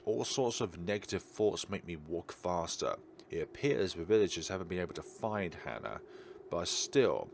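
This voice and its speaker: A man's voice: sits low, slight background noise. A man hosting a visual novel playthrough, reading the text aloud and mixing in casual, offhand remarks. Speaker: host of a game playthrough.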